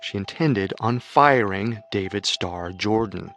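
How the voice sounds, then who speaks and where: Low-voiced man narrating true crime. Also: takes it slowly, fairly bright tone, soft background music. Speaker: narrator, co-host.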